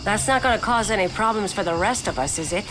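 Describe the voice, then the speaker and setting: A pragmatic female survivor voiced by an actress in a video game. She speaks at a medium pitch in a direct, businesslike way, with music playing behind her. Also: animated, fast talker, natural voice, poor audio. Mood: firm. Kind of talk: video game cutscene acting.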